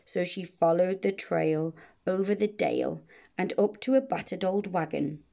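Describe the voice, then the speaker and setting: A woman reading a rhyming children's bedtime story in a measured, expressive way. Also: fairly low pitch, gravelly. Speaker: storybook reader.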